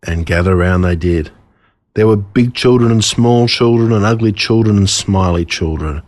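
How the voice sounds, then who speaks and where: An Australian man reading a scripted children's storybook in a dramatic, deliberate way, relishing the gruesome parts. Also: fairly low pitch, a little mellow. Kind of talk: scripted storybook narration told as a boy.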